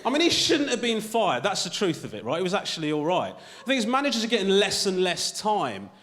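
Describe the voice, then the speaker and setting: High-voiced male comic, studio hall. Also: wildly swooping pitch, brisk, fairly bright tone. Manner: conversational, tags lines with "right?". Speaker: stand-up comedian. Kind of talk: stand-up routine. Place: TV studio stage before a live audience.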